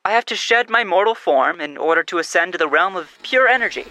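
A woman's voice: on the low side, lively intonation, a little fast, bright, forceful tone, no echo, poor audio. A woman playing herself in a scripted comic radio skit, breezy and matter-of-fact.

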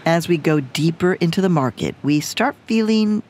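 A woman's voice: lowish voice, lively intonation, normal speed, a little mellow. A woman hosting a radio program, narrating a playful story from a field trip in scripted voice-over. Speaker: radio host. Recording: narration with music underneath.

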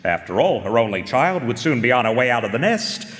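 A man's voice: mid-range voice, very animated, a bit bright. A man playing a confident, polite and wry detective in a live radio mystery, with formal, teasing delivery. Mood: insinuating. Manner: formal, teasing, deliberate build-up. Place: live performance hall. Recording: reverberant stage in a large hall.

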